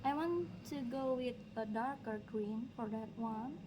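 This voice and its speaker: A woman from Indonesia, speaking English as a second language, chatting slowly and musingly as she colors on a livestream. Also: a little high, background noise. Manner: slow, musing, talks while working, light laughs. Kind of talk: relaxed coloring livestream chat. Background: background music.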